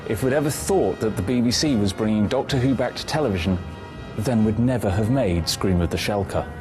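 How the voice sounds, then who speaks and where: A man's voice: lowish voice, a little fast, over music. A man giving his recollections in an interview style.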